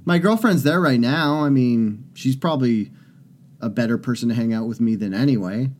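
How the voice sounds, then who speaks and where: A man's voice: mid-range voice, normal speed, darkish tone. A young man co-hosting a podcast, wry and self-deprecating, with a grumbling, curmudgeonly humor. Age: young adult. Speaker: podcast co-host. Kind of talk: casual podcast banter.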